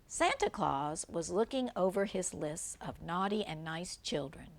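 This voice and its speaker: A woman's voice: fairly low pitch, expressive, a little slow, creaky, noisy background. An older woman reading a children's picture book aloud as a grandmother would, stopping to point things out simply. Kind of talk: read-aloud storybook narration with asides about the pictures.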